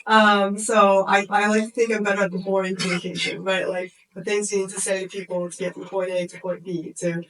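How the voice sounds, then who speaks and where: Woman, casual, self-deprecating, flat delivery, bright, far mic, faint hiss. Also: medium pitch, fairly quick, hardly any pauses. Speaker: workshop participant. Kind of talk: self-introduction at a workshop.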